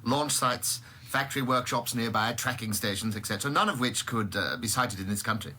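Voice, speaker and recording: An actor playing a blunt, sceptical, irritable British scientist of high standing in a radio drama. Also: male voice, fairly quick, sharp tone, slight background noise. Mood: considered, doubtful. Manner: blunt, plain-spoken.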